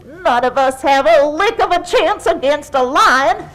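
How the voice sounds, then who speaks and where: A woman's voice: sits high, expressive, a little slow, fairly bright tone, low-quality recording. A woman voicing a prim, haughty, easily exasperated pig in a children's puppet show, with fussy, grandiose wording. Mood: pompous, worried.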